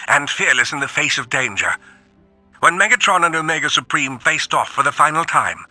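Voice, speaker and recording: A man's voice: a little high, pushed and bright, dry recording, heavily acted, rough recording. A man voicing a grave, formal robot chronicler in a dramatic video game audio log.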